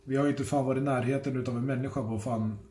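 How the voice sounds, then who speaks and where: A middle-aged man chatting casually for a family vlog, informal and sweary, often halting, recorded on a phone at arm's length indoors. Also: medium pitch, steady tone, warm tone. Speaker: family vlogger. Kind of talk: casual handheld vlog chat. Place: indoors at home, rooms and kitchen. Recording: roomy echo, faint household noise.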